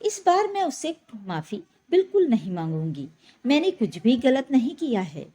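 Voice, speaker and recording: Woman playing an indignant, animated young daughter-in-law. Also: a little high, moderate pace, breathy edge. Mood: defiant. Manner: firm, defiant.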